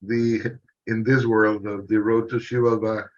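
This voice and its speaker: A man, a filmmaker and animator, introducing his work on a panel in a halting way with restarts. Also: on the low side, flat delivery. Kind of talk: casual panel self-introduction. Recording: muffled lo-fi call audio.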